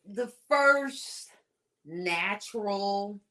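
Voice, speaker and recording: A woman in her forties co-hosting a casual relationship talk show, explaining her points slowly and laughing often. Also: medium pitch, animated, pushed and bright. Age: 40s. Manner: slow, deliberate, laughing storyteller. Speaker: podcast co-host.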